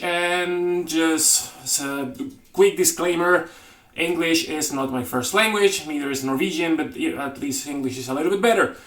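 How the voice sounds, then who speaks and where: A man's voice: on the high side, pushed and bright. Man, casual joking cooking host, rambly and self-correcting, echoey home kitchen. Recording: roomy kitchen with faint noise.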